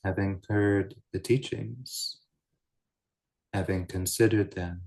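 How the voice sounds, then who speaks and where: A man guiding a meditation as a teacher, speaking in measured, deliberate short phrases with pauses between them. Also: sits low, fairly even intonation, gravelly, darkish tone. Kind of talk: guided meditation, unscripted Buddhist teaching.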